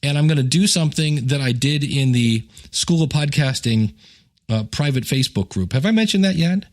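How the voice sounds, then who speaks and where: A man with an average-pitched voice hosts a solo podcast. He talks casually, like a chatty teacher making announcements and plugs. He wanders into joking asides and pokes fun at himself. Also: expressive, normal speed. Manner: chatty, joking, self-deprecating, rambling asides.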